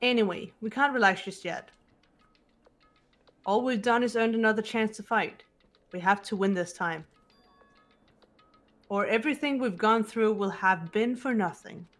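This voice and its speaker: A woman voicing a composed, analytical teenage girl in a measured, formal way for a fan-voiced visual novel. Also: mid-range voice, fairly bright tone, soft background music, close to an everyday voice. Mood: resolute.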